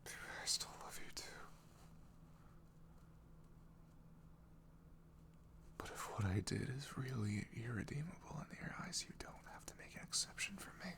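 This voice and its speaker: A man playing an apologetic, vulnerable ex-boyfriend in an intimate ASMR roleplay, with a breathy half-whisper and a hesitant, emotional delivery. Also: mid-range voice, animated, fast talker, fairly bright tone. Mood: earnest, pained.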